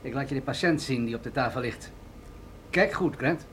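An actor in a radio drama playing an authoritative military general, grave and deliberate as he explains things. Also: medium pitch, fairly bright tone, slight background noise, close to an everyday voice.

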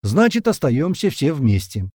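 A man's voice: medium pitch, very animated, unhurried, a little mellow, slightly rough, no echo, clean studio sound, voice pushed up. A man voicing a loyal, stubborn male comrade in an audiobook, earnest and emphatic. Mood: categorical. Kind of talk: audiobook character dialogue.

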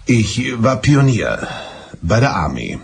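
An actor playing an evasive middle-aged suspect, a former army explosives expert, speaking with halting, guarded politeness in a German radio drama. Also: male voice, medium pitch, big pitch swings, gravelly, a little hiss or hum. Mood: composed, hesitant.

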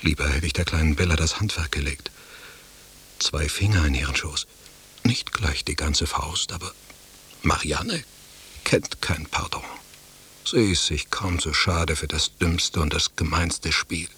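An actor playing a sly, mocking, jilted husband in a literary radio play, with florid, ironic musing and a husky voice. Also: male voice, very deep voice, a little slow, sharp tone, full character voice. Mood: brooding, musing.

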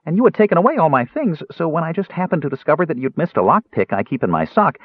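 A man's voice: a little high, sing-song, fast talker, husky, no echo, narrow, tinny audio, pitched above the performer's own voice. A man voicing a small, naive, eager kender in an audiobook, rambling earnestly and easily wounded. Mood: chatty, indignant.

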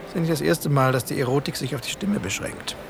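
An actor in a radio play playing a curious, teasing friend who chats casually about romance. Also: male voice, on the high side, fast talker, creaky. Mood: curious, amused. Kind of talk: naturalistic radio play dialogue. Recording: loud music behind the voice.

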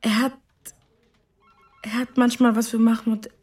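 An actress playing a worried, guarded woman under police questioning in a radio drama, with a husky voice. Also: on the high side, flat delivery, a little slow, a little mellow. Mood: guarded, upset.

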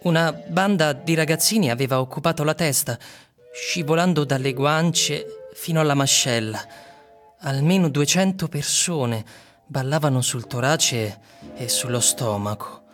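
A man's voice: a little high, measured pace, lightly acted. An actor playing a man who solemnly reads an eerie literary tale aloud in a radio drama. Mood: solemn, descriptive. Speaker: narrator and actor. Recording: music under the voice.

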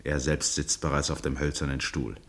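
An actor as a detached narrator of a literary radio drama, reading in a sober, precise, matter-of-fact way. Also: male voice, a bass voice, little pitch movement, normal speed.

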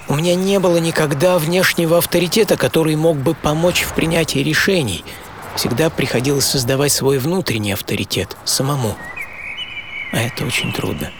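A man's voice: medium pitch, background noise. A man reading a scripted first-person radio story in a reflective, intimate, wry way. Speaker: radio host and narrator. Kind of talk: scripted first-person audio drama narration. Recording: voice over background music.

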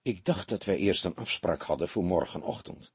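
Husky, calm, shrewd police detective read by a man. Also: sits low, no room sound, voice pushed down, exaggerated voice. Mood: quiet, sombre. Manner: quiet, measured, understated. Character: calm, weary, shrewd middle-aged male police detective. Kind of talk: audiobook character dialogue.

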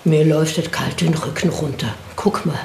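A woman's voice: deep voice, vocal fry, darkish tone, echoey room, a little hiss or hum. An actress playing a joking, squeamish village choir woman in a radio play. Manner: joking, dry, unhurried.

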